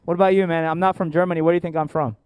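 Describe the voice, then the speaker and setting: An American man presents at a seminar in a crisp, resonant voice with very little room echo. He speaks informally as an educator and host, keeping things jokey and engaging with his audience. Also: a little high, little pitch movement, very fast, darkish tone. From born in South Korea, grew up in Atlanta, United States. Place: indoor seminar room with families and online viewers.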